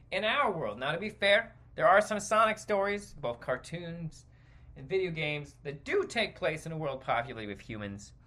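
Animated, bright-voiced man ranting. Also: mid-range voice. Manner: rambling, digressive, emphatic. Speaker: podcast host. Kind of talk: opinionated stream-of-consciousness commentary.

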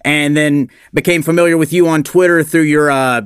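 A male podcast host with a slightly raised pitch and a dry, close sound. He talks casually and enthusiastically, handing out compliments freely as he interviews. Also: steady tone, normal speed, smooth, no echo.

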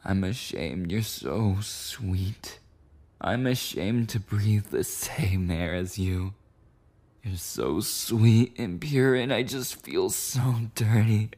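A man's voice: fairly low pitch, slow, darkish tone. A man playing a clingy, insecure, possessive boyfriend in an intimate ASMR roleplay, rambling breathily and often slipping into whispers. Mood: adoring, guilty.

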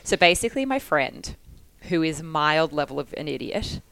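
Low-voiced Australian woman, laughing chatter. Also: normal speed, gravelly, clear, resonant tone, rough recording. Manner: animated, laughing, full of "like" fillers. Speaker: podcast co-host. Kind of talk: casual storytelling.

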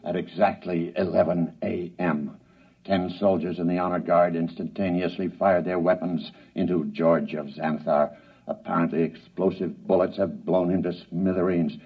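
An actor playing a grave radio news commentator reporting from the scene in deliberate 1950s broadcast style. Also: male voice, deep voice, steady tone, slightly rough. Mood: urgent. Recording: distant, dull old radio broadcast.

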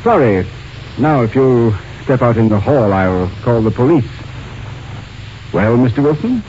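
Older male actor as a shrewd, unhurried gentleman of radio drama, dryly mocking, muffled with faint hiss. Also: medium pitch, expressive, lightly acted. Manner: polite, dry, unhurried menace. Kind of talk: 1940s-50s radio drama acting. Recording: dull, hissy old radio recording.

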